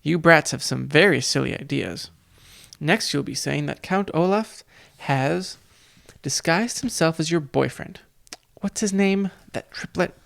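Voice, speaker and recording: Pompous school vice principal, dismissive and jeering, higher-pitched male voice, close and dry, acted by a man reading a storybook. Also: unhurried, creaky, fairly bright tone, no room sound. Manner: exclaiming, sneering, jeering.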